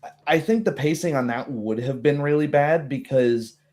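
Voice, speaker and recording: A man's voice: on the high side, moderate pace, a little mellow. A man chatting casually as a game master, talking in a rambling way and acting out imagined quotes as he goes. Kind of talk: casual chat about a tabletop game session.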